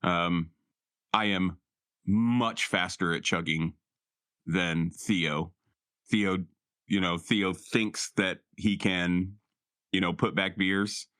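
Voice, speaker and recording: A man with a very deep voice and a bright, forceful edge talks casually as a player in a tabletop game. His speech is informal and the recording is dry. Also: fairly even intonation, slow, long pauses, clean tone, no echo.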